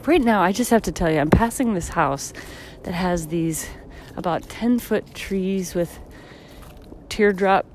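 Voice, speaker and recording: A woman in her thirties talks through her thoughts while out on a walk, meandering and full of fillers. Her voice sits low and a touch husky from tiredness. She sounds like a podcast host thinking aloud. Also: unhurried, background noise. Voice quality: slightly deep, husky from tiredness. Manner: reflective, rambling, many fillers. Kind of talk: casual solo podcast monologue. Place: walking outdoors on a street.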